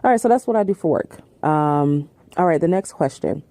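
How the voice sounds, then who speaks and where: A woman hosts her podcast alone, answering questions in a loose, chatty way full of ums and easy laughter. Her voice sits low and warm. The sound is close and dry. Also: expressive, fairly quick, no echo. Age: 30s. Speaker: podcast host. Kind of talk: casual solo Q&A chat.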